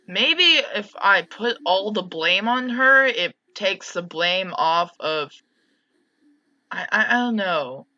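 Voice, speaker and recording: A woman giving casual, excitable commentary as host of a gaming let's play. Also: mid-range voice, takes it slowly, dull audio.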